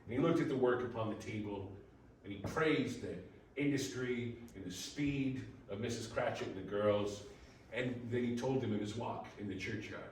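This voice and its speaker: A man's voice: medium pitch, moderate pace, darkish tone. Male narrator in a very echoey room. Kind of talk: scripted storytelling narration. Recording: very echoey room, distant mic, faint noise.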